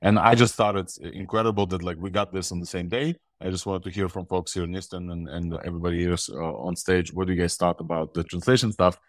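A man's voice: sits low, fairly even intonation, quick pace, hardly any pauses, no room sound, clean studio sound. A man from Ukraine with a mixed Russian and Israeli accent, hosting a casual tech news talk with enthusiasm and rambling restarts. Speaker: podcast host.

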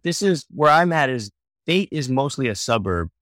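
A man's voice: medium pitch, normal speed, dry recording, clean studio sound. A man in his forties from Hawaii, a children's book author and illustrator, talking easily as a guest in an interview and laughing now and then. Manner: relaxed, easygoing, laughs often, restarts sentences. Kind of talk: casual interview conversation.

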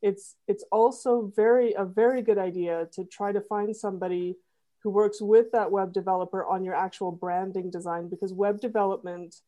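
A woman guest on a podcast, explaining her work in a relaxed conversational way and repeating words as she thinks. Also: medium pitch, moderate pace, lo-fi sound. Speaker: podcast guest, web designer. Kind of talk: casual interview chat.

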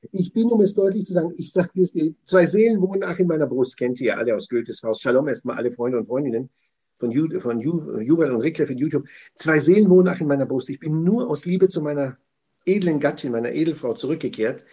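Emphatic, rambling man with a husky voice. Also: on the high side, quick pace, dark tone. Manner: emphatic, digressive, repeats phrases. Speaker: guest speaker on Torah portion. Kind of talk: effusive conversational talk.

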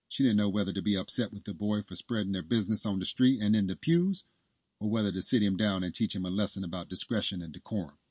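A man's voice: sits low, husky, no room sound. A man reading a scripted story as its narrator.

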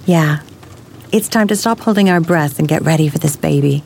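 An actress playing a wry, tolerant expectant wife in an audio drama, chatting in an intimate domestic way. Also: female voice, low-pitched, normal speed, vocal fry, darkish tone, slight background noise. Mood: relaxed, intimate. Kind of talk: full-cast audio drama acting.

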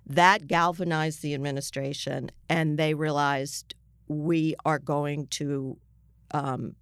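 An older American woman, a journalist being interviewed, explaining things step by step with asides in a measured way. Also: sits low, steady tone, smooth, dry recording, studio quality.

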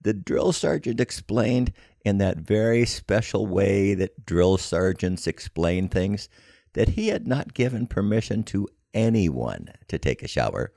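Older American male storyteller, extremely low and gravelly, measured, wry scripted narration. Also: mellow, dry recording, clean studio sound. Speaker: podcast storyteller.

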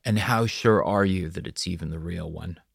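A man's voice: sits low, moderate pace, creaky, breathy edge, dry recording, studio quality, exaggerated voice. A man playing a curt, sarcastic, menacing boss of mercenaries in an audiobook. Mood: suspicious.

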